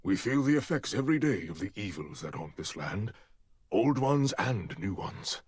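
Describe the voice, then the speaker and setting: An actor playing a stern, authoritative older Spartan commander, speaking formally and deliberately in a video game drama. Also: male voice, on the low side, sing-song, breathy edge, no room sound. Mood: measured, grave.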